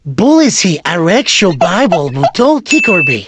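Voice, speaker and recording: A woman's voice: lowish voice, very animated, normal speed. A woman voicing a whiny, aggrieved old grandfather in a comic cartoon, indignant and pleading with melodrama. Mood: teasing. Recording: noisy amateur cartoon dub with clicks.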